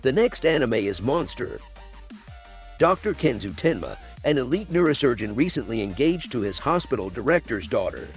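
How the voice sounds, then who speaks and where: A man hosting and narrating a scripted countdown video. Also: medium pitch.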